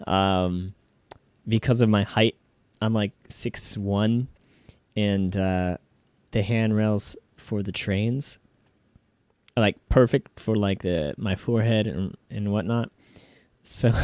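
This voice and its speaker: A man's voice: on the low side, a little mellow, no room sound. A man giving casual, chuckling commentary as a vlogger looking back on his own old videos. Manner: laughs readily while reminiscing.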